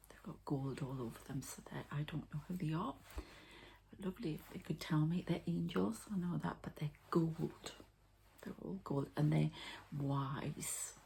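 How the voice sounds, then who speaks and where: A woman giving an ASMR energy healing session, softly and haltingly talking through each step as she goes. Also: sits low, vocal fry, a little hiss or hum, poor audio. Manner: soft, halting, murmured commentary on each step. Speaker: ASMR energy healer and channeler.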